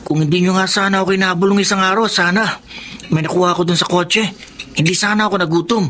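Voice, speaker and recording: A man's voice: high-pitched, fairly even intonation, fast talker, sharp tone, slight background noise. An actor playing a hungry fifteen-year-old street boy who steals, speaking in a pleading, defensive way in a radio drama.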